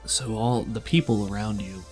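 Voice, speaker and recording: A man with a gravelly voice, running a tabletop roleplaying game as game master, telling the story and explaining in a deliberate way. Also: fairly low pitch, steady tone, a little mellow. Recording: voice over background music.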